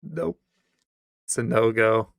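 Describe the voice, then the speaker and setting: Man, gravelly voice, dry close sound, blunt reaction commentary, swears a lot. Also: medium pitch, takes it slowly, no echo. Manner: blunt, incredulous, swears freely. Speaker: streamer reacting to a video.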